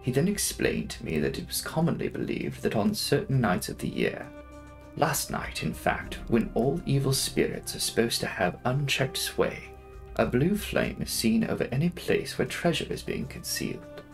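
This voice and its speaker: A man's voice: medium pitch, huge pitch swings, moderate pace, creaky, faint music behind. A young man giving a dramatic narration of a classic novel as an audiobook reader.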